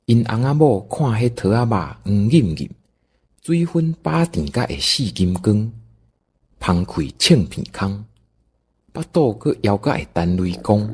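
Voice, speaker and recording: A man's voice: lowish voice, a little mellow, husky. A man with a Taiwanese accent narrating an audio drama in a folksy, dramatic storytelling style.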